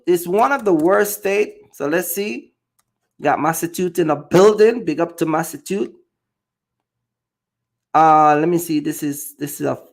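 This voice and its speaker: A man's voice: high voice, vocal fry. A man from Jamaica, a box truck driver chatting loosely on a livestream with many fillers and repeated words. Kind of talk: casual livestream chat.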